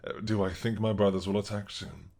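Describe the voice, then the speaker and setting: A man voicing an old, once-mad dragon king who is possessive and doting, speaking slowly with a tender, teasing manner in an audio roleplay. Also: fairly low pitch, darkish tone.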